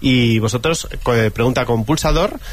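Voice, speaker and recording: A man's voice: mid-range voice, normal speed, a little hiss or hum. A man co-hosting a radio quiz show with playful, unscripted banter.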